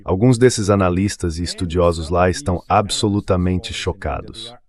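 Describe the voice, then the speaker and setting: Brazilian male analyst for a dubbed interview, deep register. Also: moderate pace, darkish tone. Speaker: political analyst guest, dubbed voice.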